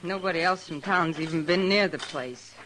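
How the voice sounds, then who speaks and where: A woman's voice: fairly low pitch, normal speed, natural voice. A woman playing a guarded, uneasy frontier ranch woman, polite and restrained, in a 1950s radio western. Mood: polite, awkward. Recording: noisy old radio drama recording.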